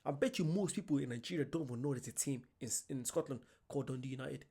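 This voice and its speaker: A young man, doing an excited, chatty reaction vlog and laughing often. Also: mid-range voice, fast talker, husky. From Ireland. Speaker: reaction vlogger. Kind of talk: casual reaction commentary.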